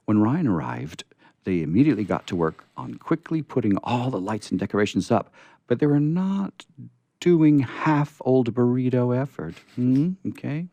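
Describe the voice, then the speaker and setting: A man's voice: medium pitch, lively intonation, moderate pace, dark tone, no room sound, muffled recording. A man hosting a radio theatre, reading stories aloud live in a chatty way. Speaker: radio theatre host and reader. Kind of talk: live dramatic story reading. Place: live radio reading before a small group.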